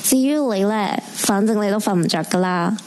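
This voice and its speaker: A woman's voice: mid-range voice, moderate pace, darkish tone, close to an everyday voice. An actress playing a brash, bossy female clinic assistant, blunt and chatty, in a Cantonese radio drama. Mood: bossy, teasing. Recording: hissy, faintly noisy recording.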